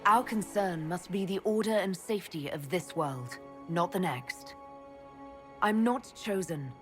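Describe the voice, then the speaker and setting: A woman's voice: lowish voice, measured pace, over music, close to an everyday voice. A woman playing a young elf mage, a reluctant but determined hero in a fantasy video game, guarded and sincere. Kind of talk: dramatic video game dialogue.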